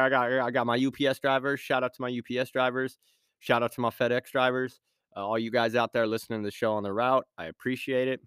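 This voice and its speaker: A man with a lowish voice, a little scratchy from a sinus infection, talks through a solo podcast episode in a studio. He rambles casually, restarts his sentences and leans on fillers. The sound is clean and smooth, close and dry with no room around it. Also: fairly bright tone, dry recording. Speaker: podcast host.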